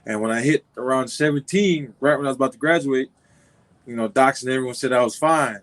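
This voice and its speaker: A young man from California talks loosely and unhurriedly, circling back on his thoughts with plenty of "you know" and "like." His voice sits at an ordinary middle pitch. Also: brisk, fairly bright tone. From Compton, California. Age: 20s. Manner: laid-back, rambling, many fillers and restarts. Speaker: football player guest. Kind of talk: casual interview.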